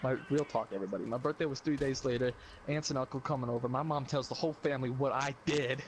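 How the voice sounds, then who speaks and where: A young man casually telling a comic personal anecdote on a podcast, animated and slangy with some swearing. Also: mid-range voice, fast, a little hiss or hum. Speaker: podcast storyteller.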